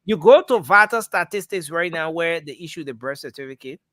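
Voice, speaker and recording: Bright, high-voiced host. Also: male voice, expressive, brisk, dry recording, clean studio sound. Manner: repeats words, cuts in to steer. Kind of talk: live call-in audio discussion.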